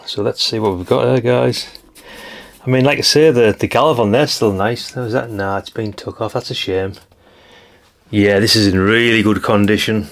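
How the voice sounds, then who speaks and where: A British man talking casually and thinking aloud as he gives a hands-on teardown commentary, rambling and informal. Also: mid-range voice. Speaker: hobbyist radio channel host.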